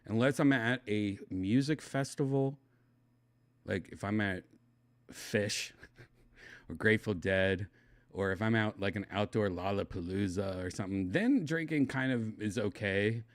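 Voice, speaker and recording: A middle-aged man from Wisconsin with a slight lisp, chatting casually and reading his stream chat, loose and mumbling, trailing off into quiet asides. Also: lowish voice, unhurried, dry recording. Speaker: livestream host reading chat.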